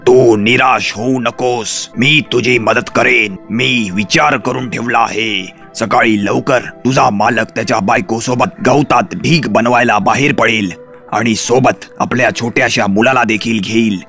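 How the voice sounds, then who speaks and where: Sly cartoon wolf, gravelly, slow careful talking, faint music under it. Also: male voice, medium pitch, steady tone, fairly bright tone. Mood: plotting, reassuring. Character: scheming male wolf, friend turned vengeful. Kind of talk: children's animated fairy tale voice acting.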